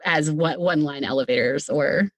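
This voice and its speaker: Casting: female podcast guest, low-pitched, enthusiastic and giggly, casual talk, close dry sound. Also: normal speed, dry recording. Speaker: podcast guest, audio drama creator. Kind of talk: casual chat.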